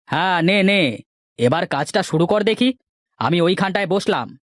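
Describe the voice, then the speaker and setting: A woman's voice: fairly low pitch, lively intonation, normal speed, dry recording, clean studio sound, close to an everyday voice. A woman voicing a sly, envious village man in a cartoon moral story, scornful and bossy. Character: sly, grudging village men, scheming and envious.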